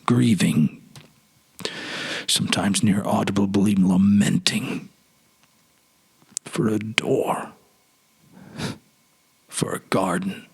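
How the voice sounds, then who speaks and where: A man voicing an anguished cabinet minister in a dramatic story reading, confessing his secret grief in halting, hushed, husky tones. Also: lowish voice, steady tone, pitched below the performer's own voice, full character voice. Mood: grieving, bitter.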